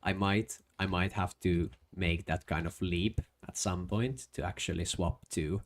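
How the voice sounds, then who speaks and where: A man's voice: low voice, steady tone, a little slow. A young man with a Finnish accent chatting casually while streaming a game, hesitant with many fillers and restarts. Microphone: desk microphone.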